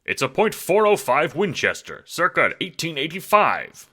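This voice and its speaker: A man's voice: fairly high pitch, very animated, normal speed, bright, forceful tone, slightly rough, pitched above the performer's own voice, heavily acted. A man voicing a blustering older mustached adventurer, incredulous and scornful, in an audio drama. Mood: indignant.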